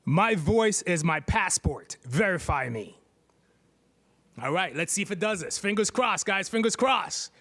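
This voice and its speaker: High-voiced playful man demoing on stage. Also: expressive, measured pace, fairly bright tone. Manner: playful, chatty, jokes with the crowd. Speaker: presenter giving a tech demo. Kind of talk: live stage demo to an audience. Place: stage at a tech meetup. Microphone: handheld mic.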